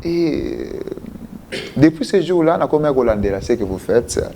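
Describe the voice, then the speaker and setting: A man from DR Congo hosting a live call-in interview, talking with animated swings and slipping between French and Lingala. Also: a little high, moderate pace, slight background noise. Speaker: host.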